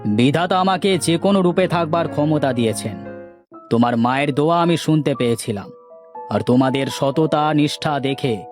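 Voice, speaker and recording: A man's voice: on the high side, close to an everyday voice. A man voicing a solemn, benevolent yogi spirit in a children's cartoon, speaking in stately pronouncements. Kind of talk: children's moral cartoon acting.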